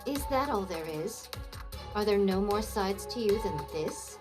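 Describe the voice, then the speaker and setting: A woman's voice: medium pitch, lively intonation, a little slow, over music, close to an everyday voice. A woman playing a haughty swordswoman in a video game, pompous and lecturing in archaic speech. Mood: weary disdain. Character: haughty, archaic-speaking swordswoman, disdainful and preachy.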